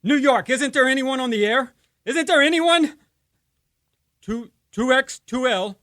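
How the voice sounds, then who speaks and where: An actor playing a desperate lone radio operator in a radio drama, repeating pleading calls for anyone to answer. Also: male voice, extremely high pitch, unhurried, pushed and bright, heavily acted. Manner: repetitive radio call, pleading.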